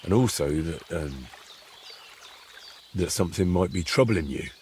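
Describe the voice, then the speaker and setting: A man's voice: deep voice, animated, measured pace, a little mellow, slight background noise. An actor playing a curious, plain-spoken young man in a medieval video game, frank and good-natured. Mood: sheepish. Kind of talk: dramatic dialogue in a cinematic historical game.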